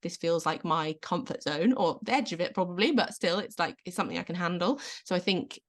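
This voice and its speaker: A woman coach, slightly losing her voice, giving gentle, encouraging advice in a casual video-call interview. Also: on the low side, brisk. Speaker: self-belief coach guest.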